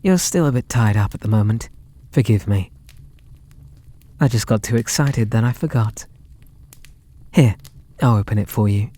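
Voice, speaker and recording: An actor with a British accent playing a possessive, lovestruck kidnapper in an ASMR roleplay, sweet and doting in a theatrical, intimate way. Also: male voice, fairly low pitch, moderate pace, long pauses, warm tone, natural voice. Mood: doting, excited. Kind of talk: ASMR roleplay audio drama.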